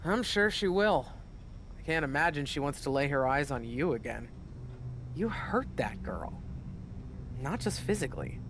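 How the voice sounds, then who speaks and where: A pointed, sardonic veteran woman wrestler, protective and quick to reproach. She speaks as in a game cutscene, over clear background noise. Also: low-pitched, expressive. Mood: reproachful. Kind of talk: video game cutscene dialogue.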